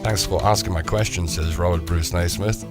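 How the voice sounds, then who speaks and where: A man's voice: deep voice, fairly even intonation, moderate pace. A middle-aged man hosting a live show, chatting casually and self-mockingly as he reads out viewer comments. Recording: music playing behind the voice.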